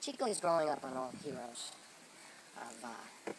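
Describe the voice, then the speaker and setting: A man's voice: mid-range voice, very animated, normal speed, far from the mic, noisy background, close to an everyday voice. A man voicing a boastful male Mexican kingpin in a put-on Mexican accent, rambling and firing off insistent rhetorical questions. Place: outdoors among trees.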